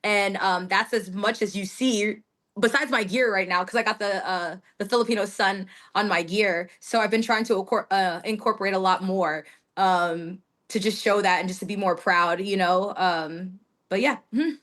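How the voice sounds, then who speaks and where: A young woman from the United States chats through an interview in a relaxed, easy way. She laughs often and fills her sentences with "like" as she goes. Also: medium pitch, normal speed. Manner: chatty, laughing, many fillers like "like". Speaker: guest wrestler. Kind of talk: casual interview chat.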